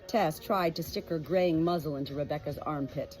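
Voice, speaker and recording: A woman reading an audiobook as an expressive storybook narrator. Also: sits low, normal speed, faint music behind. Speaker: audiobook reader.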